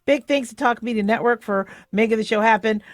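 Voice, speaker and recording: A woman's voice: fairly high pitch, brisk, dry recording, studio quality. A middle-aged American woman hosting a radio talk show, giving casual opinion in a conversational way, often searching for words. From southwestern United States.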